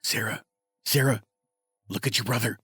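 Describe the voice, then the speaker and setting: A man's voice: mid-range voice, fairly even intonation, normal speed, bright, forceful tone, soft background music, close to an everyday voice. An actor playing a nervous, grumbling man in his late thirties, a skittish and sardonic sidekick in an audio drama. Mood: anxious, urgent. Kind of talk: audio drama dialogue acting.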